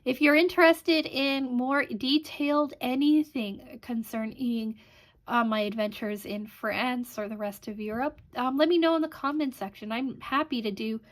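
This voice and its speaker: A woman in her thirties from Canada talks casually to her viewers in a chatty, rambling way, often pausing on an um. Her voice sits a little high. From Canada (Alberta). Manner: chatty, rambling with frequent ums. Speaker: crafting channel host. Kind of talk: casual vlog chat.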